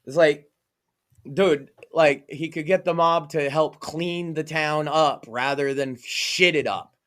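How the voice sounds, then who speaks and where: Man, podcast co-host, from Massachusetts, swears freely, fairly high voice. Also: slow pace, fairly bright tone. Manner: profane, emphatic, opinionated. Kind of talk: casual podcast chat.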